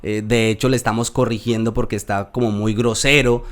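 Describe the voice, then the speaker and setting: A man with a Colombian accent hosting a casual interview, unhurried and thoughtful, often restarting his sentences. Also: on the low side, fairly even intonation. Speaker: podcast host.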